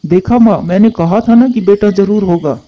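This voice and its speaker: A man's voice: high-pitched, moderate pace. A man playing a husband bird, a dutiful son curt with his wife, in a Hindi cartoon. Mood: insistent. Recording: muffled, dull lo-fi sound.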